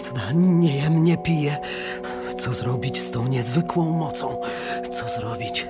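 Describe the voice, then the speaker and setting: A man's voice: medium pitch, unhurried, close to an everyday voice. An actor playing an obsessed young male science student in a radio drama. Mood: feverish, obsessed. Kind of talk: radio drama acting, reading letters. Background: music.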